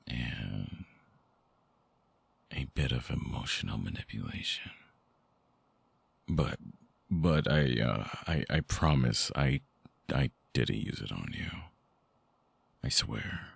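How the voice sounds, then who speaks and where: A man playing a lovelorn, seductive vampire ex in an intimate ASMR audio drama, speaking softly and haltingly with a very deep, gravelly, husky voice. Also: lively intonation, no echo, heavily acted. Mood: flustered, pleading. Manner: slow, halting, softly explanatory.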